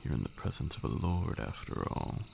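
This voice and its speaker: A man playing an ancient, haughty vampire lord in an ASMR roleplay, a condescending mentor with formal, archaic speech and a gravelly voice. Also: moderate pace, full character voice. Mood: teasing, probing. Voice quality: very deep, gravelly. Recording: steady noise with night insects. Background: crickets and night insect ambience.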